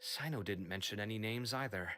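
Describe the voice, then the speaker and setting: A man playing a fretful, expressive young scholar in a fantasy video game, with theatrical exasperation. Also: on the low side, a little fast. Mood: pensive, troubled.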